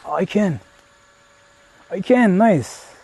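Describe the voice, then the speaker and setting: A man's voice: sits high, expressive, extremely slow, gravelly, a little mellow, breathy edge, poor audio. Chatty young man streaming outdoors. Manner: chatty, talks to viewers, frequent swearing and fillers. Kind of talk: casual live-stream vlogging. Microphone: phone held while streaming.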